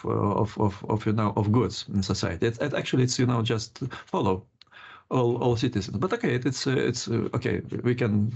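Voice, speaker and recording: Older man, interview guest, very gravelly husky voice, halting delivery, muffled call audio. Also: fairly low pitch. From Lithuania. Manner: halting, many restarts and laughs. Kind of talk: casual interview.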